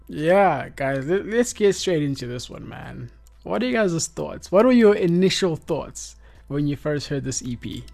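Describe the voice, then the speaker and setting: A man's voice: a little high, sing-song, measured pace, gravelly, a little mellow. A man in his twenties with a South African accent, hosting a casual music review chat in laid-back, slangy speech. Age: 20s. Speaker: podcast host.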